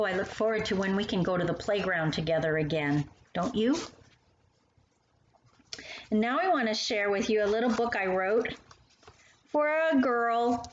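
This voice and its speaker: A woman reads children's verse and storybook prose with a very lively, swooping delivery. She addresses young listeners directly and expressively. The recording is roomy and a little muffled, with faint noise. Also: mid-range voice. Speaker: author reading aloud to young children.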